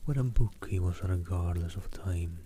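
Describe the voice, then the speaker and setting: A man from the Netherlands reading a novel aloud in a soft, hushed ASMR style on a close microphone. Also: deep voice, normal speed, slight background noise. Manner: soft, hushed, often whispered.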